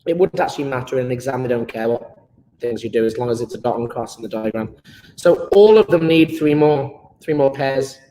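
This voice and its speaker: A man's voice: medium pitch, expressive, brisk, mellow, roomy sound, a little hiss or hum, poor audio. A British man teaching a live online chemistry lesson, playful and enthusiastic, joking with his pupils.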